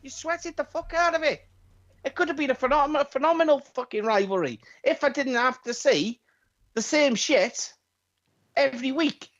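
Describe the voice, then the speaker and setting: A man's voice: very high-pitched, normal speed, a bit bright. A man co-hosting a wrestling podcast in casual chat, swearing freely and restarting his sentences when worked up. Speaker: podcast co-host. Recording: dull lo-fi podcast audio.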